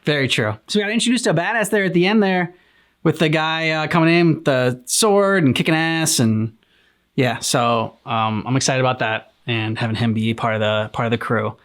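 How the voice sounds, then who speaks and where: A woman with a notably deep voice for her sex gives casual reaction commentary. She talks conversationally, as a co-host would. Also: moderate pace.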